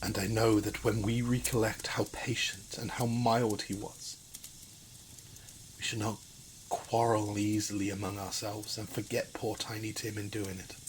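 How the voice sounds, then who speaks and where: A man plays a kindly working father in mourning in a Victorian audio drama. His fairly low voice is gentle, earnest and tender. A faint noise sits behind the recording. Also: flat delivery, a little slow, close to an everyday voice, rough recording. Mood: tender grief.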